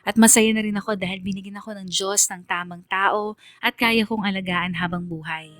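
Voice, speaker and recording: Radio drama narrator: reflective young woman, read by an actress, mid-pitched, earnest, confessional, faint music underneath. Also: fairly even intonation, brisk, a bit bright, natural voice. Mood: content, resolute. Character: reflective, loyal young woman telling her friendship story.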